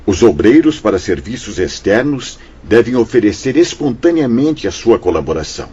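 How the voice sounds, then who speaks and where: A Brazilian actor playing a kindly, paternal spirit director in a radio drama, speaking formally and solemnly with gentle reassurance. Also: male voice, on the low side, husky, exaggerated voice. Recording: dull radio drama sound with music.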